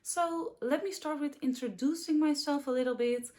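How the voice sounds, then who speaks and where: A woman's voice: high-pitched, moderate pace, mellow. A woman in her twenties from the Netherlands with a Dutch accent, chatting casually and a little ramblingly about her favorite books in a vlog. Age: 20s. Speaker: book vlogger. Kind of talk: casual book review vlog.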